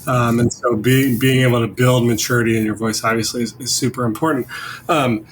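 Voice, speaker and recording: Guy hosting a business chat, average pitch, conversational, bit of noise behind him. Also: fairly even intonation, brisk, low-quality recording. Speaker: host of a business discussion. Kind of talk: conversational interviewing. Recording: faint background noise.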